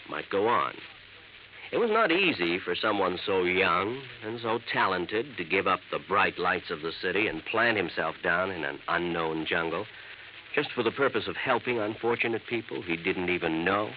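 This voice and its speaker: A male announcer reading an earnest, measured public service message for an old radio show. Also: fairly low pitch. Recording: tinny, hissy old radio broadcast.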